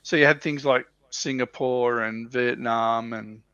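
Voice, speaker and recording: A man's voice: medium pitch, fairly even intonation, a little slow, pushed and bright, clean tone, dry recording, muffled recording. A man co-hosting a casual livestream chat, thinking aloud in a hesitant way. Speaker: livestream co-host. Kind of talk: casual panel chat about tabletop wargaming.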